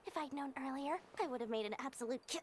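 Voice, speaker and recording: A woman's voice: high-pitched, quick pace, slight background noise, lightly acted. A woman voicing a small, greedy, flamboyant girl merchant in a video game, full of grandiose, wheedling sales patter. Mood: fawning. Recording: loud game music underneath. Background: game music.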